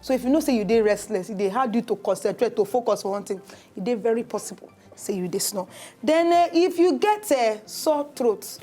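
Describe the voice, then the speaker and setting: A woman's voice: fairly high pitch, animated, a little fast, hardly any pauses, mellow. A woman with a Nigerian accent, a doctor presenting a health segment on a casual television talk show, explaining step by step with fillers and restarts. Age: adult. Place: TV studio.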